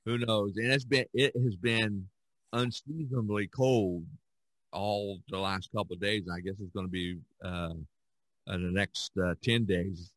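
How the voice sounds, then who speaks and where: An American man hosting a casual podcast chat, speaking in halting, hesitant phrases. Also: fairly low pitch, fairly even intonation, a bit bright, no room sound, low-quality recording. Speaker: podcast host.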